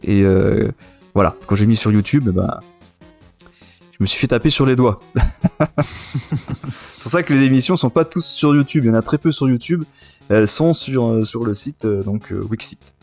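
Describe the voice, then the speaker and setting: A man's voice: mid-range voice, moderate pace, creaky, pauses often, a little mellow, soft background music. A man in his thirties hosting a casual podcast chat, enthusiastic and often repeating himself. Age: 30s. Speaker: podcast and web radio host. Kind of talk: casual chat, reminiscing about retro gaming.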